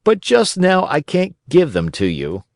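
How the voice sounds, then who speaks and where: A man's voice: on the high side, huge pitch swings, unhurried, vocal fry, dry recording, clean studio sound, pitched above the performer's own voice, heavily acted. A man voicing a sensible little boy with a mocking, matter-of-fact manner, in a storybook reading. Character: teasing, sensible little boy refusing bargains.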